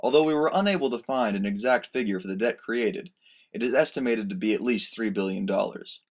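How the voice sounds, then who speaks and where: A man's voice: on the low side, a little fast. A man reading scripted narration for a student history documentary.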